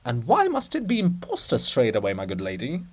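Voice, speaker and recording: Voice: mid-range voice, huge pitch swings, a little fast, darkish tone, husky, pitched below the performer's own voice. A reader as a pompous, fussy male scribe. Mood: pompous, indignant. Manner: pompous, formal, indignant. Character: fussy, self-important male scribe statue, bearded monk. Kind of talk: dramatic stage reading of a farce.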